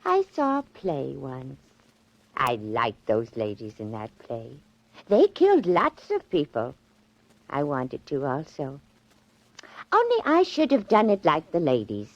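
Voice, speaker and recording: A woman's voice: a bass voice, huge pitch swings, unhurried, heavily acted. An actress playing a genteel, batty little old lady killer in a 1940s radio drama, polite and eerily matter-of-fact. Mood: dreamy, wistful. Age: older. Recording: dull 1940s radio recording.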